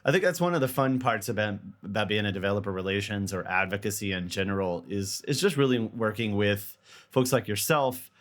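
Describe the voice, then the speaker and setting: A man's voice: lowish voice, fairly quick. Rambling self-joking male podcast host. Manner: rambling, repeats words, jokey and self-deprecating. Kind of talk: casual podcast interview.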